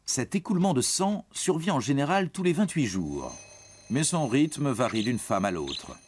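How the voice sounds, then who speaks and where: A man's voice: mid-range voice, very animated, moderate pace, a little mellow, a little hiss or hum. A man reads scripted educational narration for young teens, explaining things in a reassuring, informal way and addressing the listener directly. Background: music.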